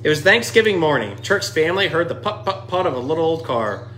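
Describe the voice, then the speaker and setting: A man reading a children's picture book aloud in an animated, expressive storytelling style. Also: a little high, fairly quick, a little hiss or hum. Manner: expressive, dramatic dialogue readings.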